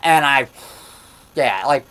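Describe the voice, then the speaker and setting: A man in his fifties telling a rambling story in a loud voice, repeating himself and getting worked up as he goes. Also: medium pitch, lively intonation, takes it slowly, clear, resonant tone, poor audio. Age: 50s. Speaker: monster movie maker telling a story. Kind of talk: casual storytelling monologue.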